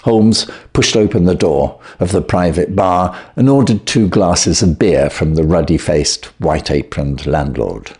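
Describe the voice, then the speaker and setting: A man reading a classic story aloud as scripted narration, measured and expressive. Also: sits low. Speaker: audiobook reader.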